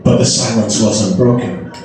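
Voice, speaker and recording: A man giving a dramatic, theatrical poetry reading with deliberate phrasing and pauses. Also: fairly low pitch, darkish tone. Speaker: reader of a poem. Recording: very echoey, crowd-like background sound.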